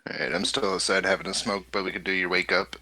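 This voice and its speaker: A man's voice: sits low, level tone, fast talker, sharp tone, dry recording, poor audio. A man speaking in casual, informal chat with friends.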